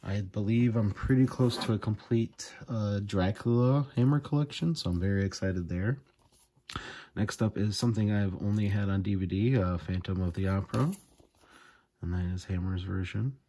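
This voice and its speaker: A man's voice: on the low side, normal speed, warm tone. A man hosting a casual unboxing vlog, enthusiastic and full of small fillers as he goes through his purchases. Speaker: video host. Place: indoors at a table, off camera.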